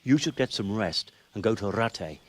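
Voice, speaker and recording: A matter-of-fact master. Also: male voice, fairly low pitch, lively intonation, normal speed, faint music behind. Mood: practical. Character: practical master giving orders to a young man.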